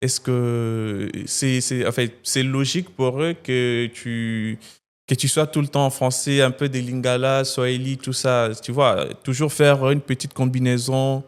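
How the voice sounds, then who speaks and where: A man's voice: medium pitch, steady tone, unhurried, clear, resonant tone. A man interviewing a guest, asking long, rambling questions full of fillers and restarts.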